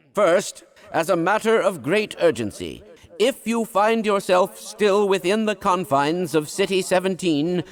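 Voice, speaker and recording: A man's voice: on the high side, natural voice. An actor playing an eccentric, fussy older male scientist in a video game, absent-minded yet kindly, speaking in wordy, formal, pedantic phrases. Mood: urgent, formal. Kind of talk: scripted video game dialogue.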